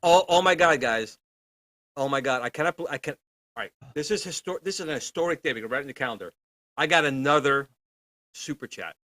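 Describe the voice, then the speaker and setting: A man with a bright, forceful voice pitched a little high trades jokes as a show co-host. He is exclamatory and wisecracking, recorded dry. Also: expressive, a little fast, no echo. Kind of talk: casual, joking banter.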